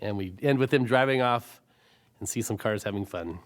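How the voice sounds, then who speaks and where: A man telling a cartoon story as a pitch, in simple present tense with exclamations and spoken sound effects. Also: normal speed.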